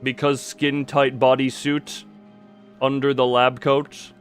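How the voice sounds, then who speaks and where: A man's voice: mid-range voice, level tone, slow, soft background music. A man live-streaming a game, chatting casually to viewers, muttering asides and reading dialogue aloud.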